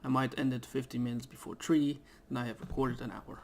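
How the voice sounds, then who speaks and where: A young man talks casually over a game at home into a desk mic, and he rambles and hesitates with plenty of fillers. His throat is irritated, so he keeps clearing it. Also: medium pitch, little pitch movement, a little fast, a little hiss or hum. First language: Dutch. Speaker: gaming YouTuber. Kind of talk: casual let's play commentary. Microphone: desk microphone.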